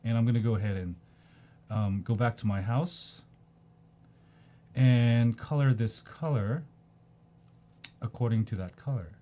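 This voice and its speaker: Korean-born guy in his 40s, soft mellow voice, umming and restarting a lot while he clicks through his screen. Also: medium pitch, a little slow, pauses often, slight background noise. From South Korea. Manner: rambling, many ums and restarts, repeats "go ahead and". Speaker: video creator. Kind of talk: casual screen-share explainer.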